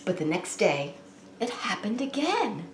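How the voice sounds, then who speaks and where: A woman preschool teacher leading story time, talking to young children in an animated, sing-song way with questions she answers herself. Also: medium pitch, unhurried, a little hiss or hum. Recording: roomy, echoing indoor sound.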